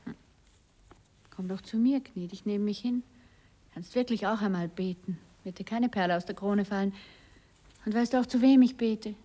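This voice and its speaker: An actress playing a grandiose, mocking stage diva in a radio play, with a theatrical, teasing delivery. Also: female voice, mid-range voice, normal speed, pauses often, dark tone, natural voice. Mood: grand, teasing.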